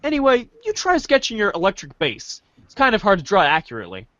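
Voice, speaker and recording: A man reading a story aloud in a playful, theatrical way, as an animated second-person narrator. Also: sits high, fast, dry recording, dull audio.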